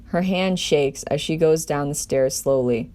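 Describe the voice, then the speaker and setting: A woman's voice: low voice, a little slow, warm tone. A woman doing an amateur table read as storyteller, narrating a script in her own voice.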